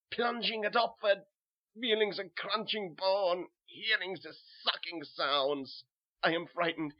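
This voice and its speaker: A man's voice: high-pitched, muffled recording, pitched above the performer's own voice. A man playing a weary, haunted German soldier who narrates a horror tale in simple short sentences, with a German accent. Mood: panicked. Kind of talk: first-person horror audio narration.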